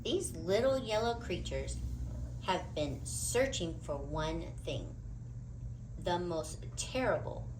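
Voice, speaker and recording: Female storybook reader, expressive and dramatic, wide pitch movement, measured pace. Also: background noise. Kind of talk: animated read-aloud story narration.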